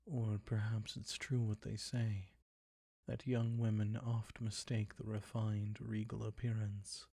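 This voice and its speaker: Man playing a slow, husky, sinister vampire count. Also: fairly low pitch, warm tone, no room sound, clean studio sound. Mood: courtly charm. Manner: courtly, condescending, slow and savoring. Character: suave, predatory ancient vampire count, courtly and sinister. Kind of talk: roleplay audio drama, intimate ASMR acting.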